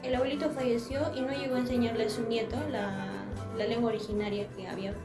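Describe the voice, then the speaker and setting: A woman's voice: a little high, level tone, fast. A young woman, a student sharing reflective comments in a group discussion and correcting herself mid-sentence. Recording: echoey room with music.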